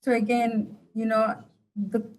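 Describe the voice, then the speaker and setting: A Nigerian woman giving explanatory interview commentary as an analyst, deliberate and pausing mid-sentence. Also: a little high, flat delivery, darkish tone, poor audio. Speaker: studio guest analyst.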